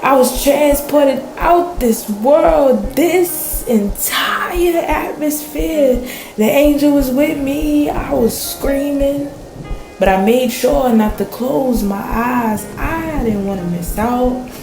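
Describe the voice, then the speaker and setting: Slow, echoey woman acting a shaken fisher storyteller. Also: sits high, creaky, exaggerated voice. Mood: excited, earnest. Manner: halting, dramatic storytelling with pauses and repetition. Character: shaken spiritual fisher recounting a near-death angel encounter. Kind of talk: radio play monologue. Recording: roomy echo with music underneath.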